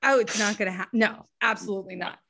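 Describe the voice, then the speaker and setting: Bright, cheeky American-raised woman host. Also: medium pitch, fast. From United States. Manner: upbeat, playful, cheeky. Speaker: podcast host. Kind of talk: casual chat.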